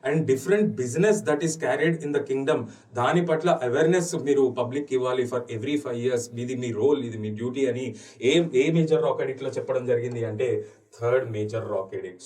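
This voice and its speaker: A man's voice: medium pitch, a little mellow, far from the mic, low-quality recording. A man with a Telugu accent teaching history for exam candidates, explaining answers and rephrasing key phrases as he switches between two languages.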